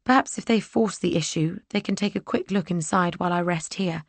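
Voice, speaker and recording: Woman reading as a young lady, sly, polite, feigning weakness, higher bright voice, dry sound, storybook reading. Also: brisk, no echo. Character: young gentlewoman feigning illness, cunning and composed.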